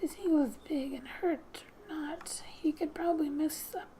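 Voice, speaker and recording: A woman speaking softly and breathily, often near a whisper, as she gently reads game text aloud for an ASMR gaming video. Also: sits high, fairly even intonation, normal speed, a little hiss or hum.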